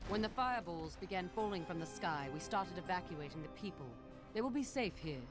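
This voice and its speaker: A dutiful soldier voiced by an actress reports in a firm, lowish voice over clear game music. She sounds resolute, in fantasy game style. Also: animated, a little fast, lightly acted. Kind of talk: video game acting. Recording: loud game music behind.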